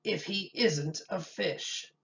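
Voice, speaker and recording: A woman voicing a helpful, matter-of-fact cook in a storybook, explaining in a measured way. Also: lowish voice, creaky, fairly bright tone, distant mic, muffled recording, heavily acted.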